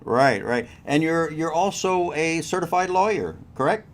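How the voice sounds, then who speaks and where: A man's voice: fairly high pitch, lively intonation, unhurried. A man hosting a cruise news show and interviewing a guest, talking in a chatty way straight to his listeners. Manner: chatty, addresses crew members directly. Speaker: host.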